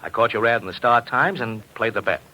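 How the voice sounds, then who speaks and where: Bright, slangy, worried radio betting man. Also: mid-range voice, brisk. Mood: worried, frustrated. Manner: slangy, hard-boiled talk. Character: worried, slangy big male betting agent. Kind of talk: 1950s radio drama acting. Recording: noisy old radio recording.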